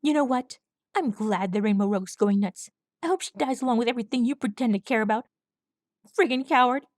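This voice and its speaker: A woman's voice: medium pitch, steady tone, normal speed, a little mellow, no echo, studio quality, close to an everyday voice. Woman doing a bitter, sneering older foal. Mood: furious. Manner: sullen, sneering, angry. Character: bitter older male foal, sullen and hostile.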